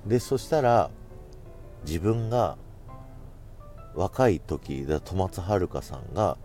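A man's voice: sits low, expressive, slow, dark tone, soft background music. A man hosting a solo radio-style podcast, talking casually and politely to his listeners in a chatty way.

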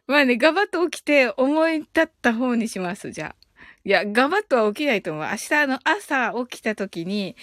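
A woman's voice: fairly high pitch, no room sound, studio quality. A woman hosting a casual live audio stream, chatting politely with her listeners and often breaking into giggles.

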